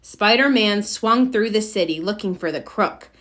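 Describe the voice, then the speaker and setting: A woman narrating a children's storybook aloud with expressive, deliberate phrasing. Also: mid-range voice.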